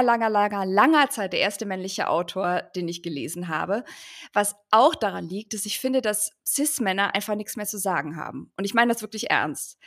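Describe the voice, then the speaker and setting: A woman in her forties who co-hosts a podcast, opening the episode with a clear, upbeat prepared introduction and putting questions to her guest. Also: medium pitch, a bit bright. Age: 40s. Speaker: podcast co-host.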